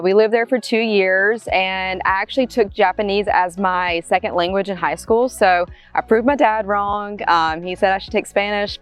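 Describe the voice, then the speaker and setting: A woman in her thirties with a Southern drawl, a realtor answering interview questions in a casual, friendly way with many fillers. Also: lowish voice.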